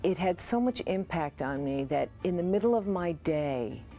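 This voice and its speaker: A woman's voice: on the low side, lively intonation, moderate pace, mellow, soft background music. An American woman reminiscing in a documentary interview, listing details descriptively. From United States.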